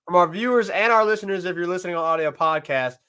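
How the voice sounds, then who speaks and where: A man's voice: high-pitched, fast, a crowd in the background. A man co-hosting a podcast, chatting casually and chiming in with short remarks. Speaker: podcast co-host. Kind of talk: casual chat, short interjections.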